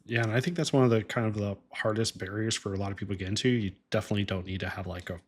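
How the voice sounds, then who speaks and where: A man hosting a podcast, chatting casually with frequent "like" and quick affirmations. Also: on the low side, slightly rough. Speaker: podcast host. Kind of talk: casual interview chat.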